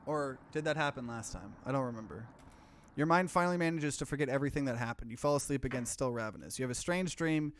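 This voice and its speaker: A man's voice: mid-range voice, quick pace, slight background noise. A man doing a let's play, reading visual novel narration aloud and dropping in casual, offhand commentary. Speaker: streamer, let's player.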